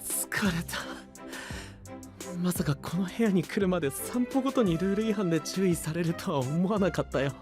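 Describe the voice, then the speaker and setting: A man's voice: high-pitched, normal speed, close to an everyday voice. A male actor playing a cool-headed, teasing young knight in an anime audio drama, speaking in a casual, boyish, drily wry way. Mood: weary, complaining. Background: background music.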